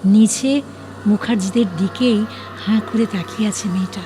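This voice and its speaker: A woman's voice: medium pitch, steady tone, moderate pace, noisy background. A woman reading dramatic scripted narration for an audio story. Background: faint music.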